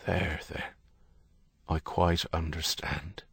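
A man voicing a priest who is gentle and folksy, yet prying and faintly accusatory. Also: a bass voice, flat delivery, slow pace, creaky, breathy edge, no room sound, heavily acted. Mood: gentle, probing. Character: Irish priest, solicitous yet prying and blunt.

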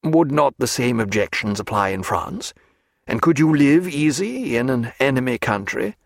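A man reading aloud voices a reserved, earnest gentleman doctor who talks in a measured, formal way. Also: medium pitch, lively intonation, gravelly, no room sound, clean studio sound.

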